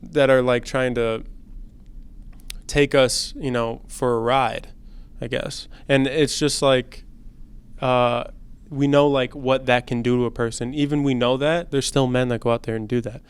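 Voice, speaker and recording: Smooth-voiced young man hosting a chat. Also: mid-range voice, a little slow. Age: 20s. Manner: relaxed, chatty, loose with slang and fillers. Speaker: student podcast host. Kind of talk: casual conversation.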